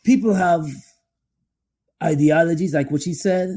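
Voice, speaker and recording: A man co-hosting a podcast, discussing relationships conversationally in a halting way, often repeating his phrases. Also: on the high side, dark tone, breathy edge. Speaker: podcast co-host.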